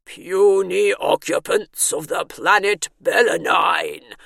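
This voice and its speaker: A pompous alien overlord, voiced by a woman, loud and grandiose yet bumbling. The delivery is comic sci-fi storybook, in a very dry recording. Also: slow pace, gravelly, no room sound, full character voice. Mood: grandiose menace. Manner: grandiose, booming, then sheepish. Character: bombastic, bumbling alien overlord calling from orbit.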